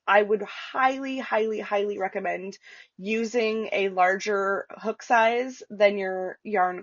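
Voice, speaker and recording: A woman's voice: mid-range voice, no echo, muffled recording. A woman with a stuffy, congested voice hosting a casual crochet tutorial at home, chatty and full of fillers. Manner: chatty, lots of fillers and restarts. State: stuffy, nasal from a cold. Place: home with dogs walking around. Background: dog nails clicking on the floor.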